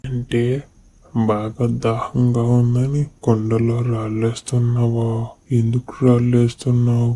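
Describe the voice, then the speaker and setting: Flat-voiced, scornful mango played by a man. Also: mid-range voice, unhurried, a little hiss or hum, lightly acted. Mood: questioning. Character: scornful, know-it-all talking mango. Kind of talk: animated moral story dialogue.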